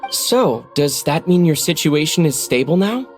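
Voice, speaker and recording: A slightly low voice plays a young traveler in a fantasy game. The delivery is calm and obliging, with soft music behind. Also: female voice, a little slow. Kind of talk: scripted video game dialogue.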